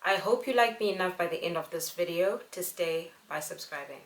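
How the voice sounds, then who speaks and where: A young woman from Zimbabwe, telling a personal story to camera in an earnest, unhurried way. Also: lowish voice. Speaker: vlogger. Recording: echoey room.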